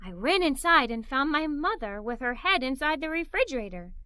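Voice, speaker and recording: A woman reading a children's story aloud in the first person, with animated, expressive delivery. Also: sits high, fairly quick, no echo. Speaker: storybook narrator.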